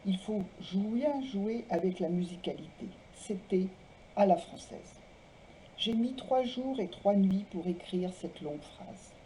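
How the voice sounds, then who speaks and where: A woman presenting and reading literary texts aloud in a measured way, phrase by phrase. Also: medium pitch, warm tone, a little hiss or hum, poor audio. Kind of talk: literary talk, biographical introductions and read-aloud texts.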